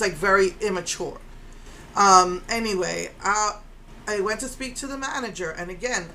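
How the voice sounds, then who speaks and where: A woman in her fifties telling a story in an animated, indignant way, with a strong voice. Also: medium pitch, slight background noise. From Puerto Rico. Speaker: vlogger. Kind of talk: casual storytelling rant.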